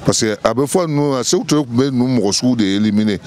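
Give me a middle-aged Malian man in a street interview, speaking into a handheld mic outdoors, emphatic but halting. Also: medium pitch, a little mellow. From Mali. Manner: emphatic, heavy hesitations. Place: outdoors in a courtyard. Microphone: handheld mic held by interviewer.

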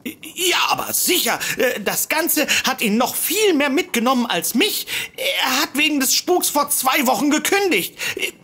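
An actress playing a fussy, apologetic secretary in a comedic audio drama, stammering and rambling nervously. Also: female voice, high-pitched, very animated, a little slow, pushed and bright, full character voice. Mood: worried, earnest. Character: flustered, apologetic, fussy secretary seeking help.

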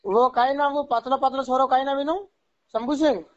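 A man's voice: extremely high pitch, fairly even intonation, normal speed. A man speaking brusquely and repetitively in a confrontational phone call. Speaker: caller. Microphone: telephone. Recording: dull lo-fi phone call recording.

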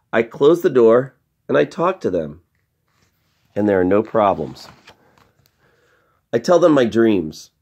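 A man reading a storybook aloud in a deliberate way, phrase by phrase with dramatic pauses. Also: mid-range voice, creaky. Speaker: story reader. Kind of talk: storybook read-aloud narration.

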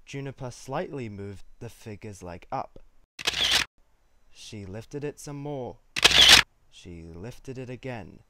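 A man narrates a scripted story. His voice sits at a medium pitch, and he reads as the storyteller between the characters' lines. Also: lively intonation, takes it slowly, long pauses, heavy background noise.

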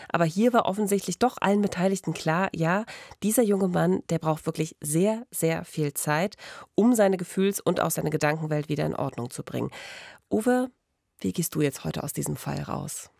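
Low-voiced woman podcast host with creak. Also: animated, normal speed, darkish tone, no room sound, studio quality. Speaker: true-crime podcast host. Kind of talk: conversational podcast presenting. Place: small radio studio. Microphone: close studio microphone, headphones.

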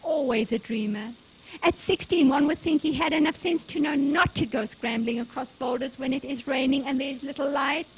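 A man's voice: very high voice, a little slow, few pauses, voice pushed up, low-quality recording. A woman voicing a stern, anguished farmer father in an audiobook story, curt and commanding. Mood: muttering, exasperated. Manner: curt, barking orders.

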